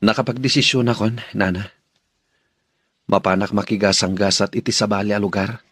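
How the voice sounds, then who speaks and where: A man's voice: on the low side, fairly even intonation, moderate pace, a bit bright, breathy edge, no echo. A man playing an earnest, determined young man in a radio drama, speaking solemnly. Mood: earnest, resolute.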